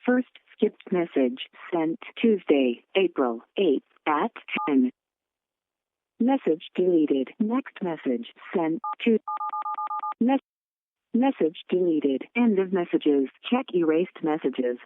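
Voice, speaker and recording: An automated voicemail voice delivers recorded menu prompts at a slow, stilted pace. The pitch jumps between pieced-together phrases, and the sound is thin and narrow, like a telephone line. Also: sing-song, no echo. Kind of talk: recorded phone menu announcements. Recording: tinny narrow phone line.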